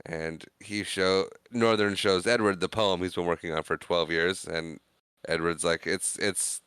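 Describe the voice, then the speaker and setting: A man's voice: low voice, fairly even intonation, a little slow, a bit bright, smooth, no room sound, clean studio sound. A man co-hosting a podcast, retelling film scenes in casual chat, acting out the characters' quoted lines. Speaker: podcast co-host.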